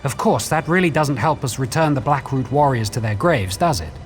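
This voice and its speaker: An actor playing a scholarly, wary quest guide in a fantasy video game, speaking in a measured, formal, articulate way. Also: male voice, medium pitch, expressive, lightly acted. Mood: measured, explanatory. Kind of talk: video game dialogue, explanatory. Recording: faint music behind.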